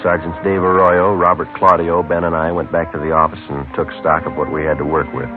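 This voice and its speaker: Low-voiced deadpan radio detective. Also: male voice, fairly quick. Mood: matter-of-fact. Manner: clipped, deadpan. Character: terse, businesslike male police homicide detective. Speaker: narrator and lead detective. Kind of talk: 1950s radio drama acting and narration. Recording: muffled old radio broadcast, music behind.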